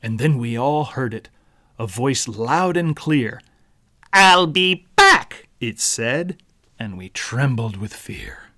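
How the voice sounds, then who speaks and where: A man narrating a rhyming children's story as its author, in a dramatic, deliberate storyteller's manner. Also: medium pitch, expressive. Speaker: author reading own book.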